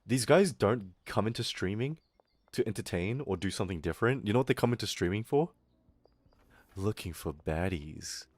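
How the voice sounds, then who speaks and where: Young aussie guy ranting on stream, low voice bouncing all over, kinda american sometimes, dry close mic. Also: normal speed, no echo. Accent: Australian with some American. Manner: animated, swearing, mocking voices. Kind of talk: casual ranting stream chat.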